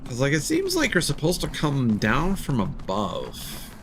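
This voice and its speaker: Male game streamer, very swoopy pitch with gravelly fry, casual muttered commentary over audible background noise. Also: wildly swooping pitch, a little slow, a bit bright. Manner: mutters to himself, mild swearing. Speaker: video game live streamer. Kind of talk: casual live gameplay commentary, thinking aloud.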